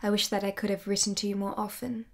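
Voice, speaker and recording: A woman's voice: medium pitch, level tone, quick pace, vocal fry, darkish tone. A woman playing a tender, devoted married lover in a period radio drama, speaking in an intimate, earnest, romantic way.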